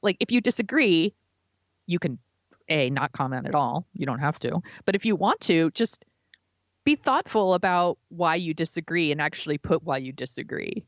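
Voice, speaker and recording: Dull dry lo-fi recording, woman, American accent, lowish voice, slangy casual podcast co-host. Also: animated, moderate pace, no echo. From United States. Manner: informal, slangy, filler "like". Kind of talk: casual chat. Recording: dull, lo-fi microphone sound.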